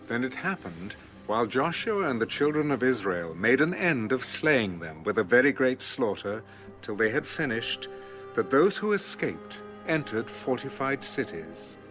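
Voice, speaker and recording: A man's voice: medium pitch, very animated, measured pace, fairly bright tone. A man narrating scripture in a dramatic, scripted audiobook style. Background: music.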